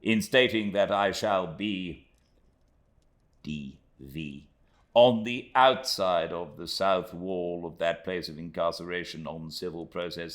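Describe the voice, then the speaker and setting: A man voicing a pompous, florid, self-pitying middle-aged gentleman, reading out a letter with grand swells and theatrical drops in his voice. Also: sits low.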